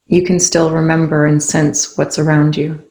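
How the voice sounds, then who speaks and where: A calm, soothing voice leading a guided meditation in gently spaced phrases. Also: medium pitch, normal speed. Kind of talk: guided meditation, soft instruction. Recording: roomy, echoing indoor sound.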